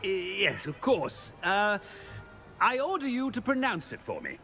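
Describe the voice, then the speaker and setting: A man's voice: sits high, huge pitch swings, a little slow, sharp tone, faint music behind, exaggerated voice. A man playing a pompous, blustering, evasive headmaster in a video game, hemming and flustered. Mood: blustering, commanding. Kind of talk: video game character acting.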